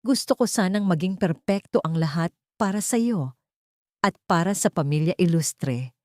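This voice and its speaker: A woman voicing a seemingly submissive wife in an audio melodrama, soft and measured, hiding cold resolve. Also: fairly low pitch, animated, dry recording, clean studio sound, lightly acted. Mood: falsely sweet. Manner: soft, measured, falsely sweet.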